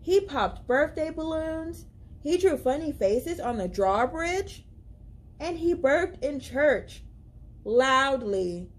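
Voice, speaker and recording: A young woman reading a children's picture book aloud as a library storyteller, with expressive, deliberate, dramatic emphasis. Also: high-pitched. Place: indoors, library among bookshelves.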